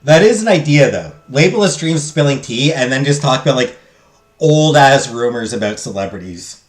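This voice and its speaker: A man with a loud, carrying voice of ordinary pitch reads a game's text aloud and banters with his stream chat. His voice echoes around a roomy space, and soft game music plays in the background. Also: expressive, moderate pace. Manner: loud, talks loudly. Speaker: streamer playing a visual novel. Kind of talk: reading game text aloud and chatting with viewers.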